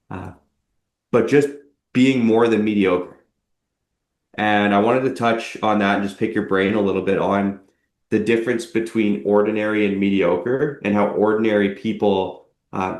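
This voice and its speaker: A man co-hosting a podcast, talking conversationally and setting up questions for his co-host. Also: lowish voice. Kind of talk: casual podcast chat. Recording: echoey room.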